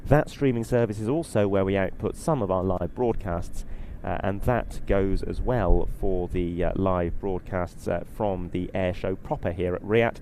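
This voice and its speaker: A man giving live airshow commentary in an explanatory, ad-libbed way, his voice slightly husky from being short on sleep. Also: low-pitched, dark tone, a little hiss or hum.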